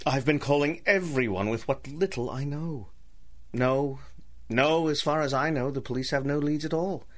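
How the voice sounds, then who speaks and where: An actor playing an embittered, scheming ruined gentleman in a radio drama, with formal, theatrical speech. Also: fairly high pitch, moderate pace, close to an everyday voice. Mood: falsely apologetic. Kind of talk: 1930s-set radio drama acting.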